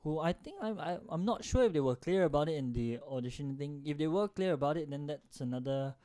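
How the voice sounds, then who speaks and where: A man's voice: on the high side, animated, fairly quick, mellow. A young man with a Singaporean accent, rambling and joking as a co-host in a casual podcast chat.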